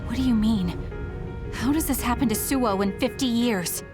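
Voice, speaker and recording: A woman's voice: fairly high pitch, normal speed, over loud music, natural voice. An actress playing a serious, bewildered young female psionic fighter in a dramatic video game scene. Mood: confused, questioning.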